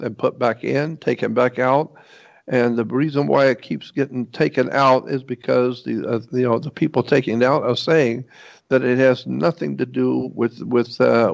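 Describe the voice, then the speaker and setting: A man giving an informal explanatory lecture in a halting, rambling way, repeating points for emphasis. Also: medium pitch, creaky. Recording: dull, lo-fi muffled audio.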